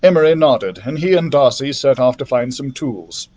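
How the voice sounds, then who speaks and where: A man reading a children's book aloud as scripted narration, in an expressive storyteller's manner. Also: medium pitch, normal speed. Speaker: library storyteller.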